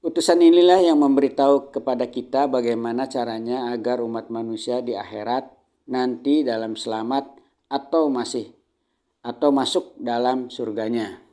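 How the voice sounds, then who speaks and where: Measured Indonesian man lecturing, mellow voice. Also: medium pitch, steady tone. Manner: measured, didactic, phrase-by-phrase delivery with frequent throat clearing. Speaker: religious lecturer. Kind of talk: Islamic sermon, delivered as a prepared lecture.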